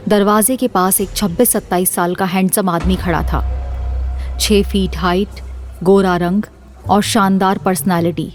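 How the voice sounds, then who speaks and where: A woman's voice: on the low side, a little mellow. A woman narrating a scripted audio drama as the storyteller.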